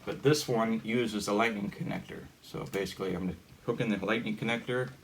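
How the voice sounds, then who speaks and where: A man giving a casual hands-on gadget demonstration in a halting, informal, step-by-step way. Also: lowish voice, rough recording. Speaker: hobbyist demonstrator. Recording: steady background noise.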